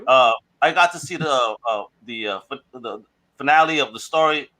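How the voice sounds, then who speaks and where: A man's voice: medium pitch, moderate pace, pushed and bright, rough recording. A man hosting a podcast with enthusiastic, slangy, showman-style introductions and casual chat. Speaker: podcast host.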